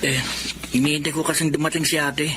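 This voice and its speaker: Forlorn young boy, acted by a man, higher voice. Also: little pitch movement, brisk, noisy background, close to an everyday voice. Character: forlorn young boy waiting for his sister. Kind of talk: Filipino radio drama acting.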